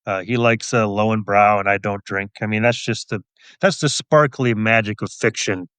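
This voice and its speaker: A man's voice: lowish voice, fairly even intonation, moderate pace, fairly bright tone, clear, resonant tone, no echo. A middle-aged man answering as an interview guest in a casual, wry, understated way. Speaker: guest, crime novelist and police officer.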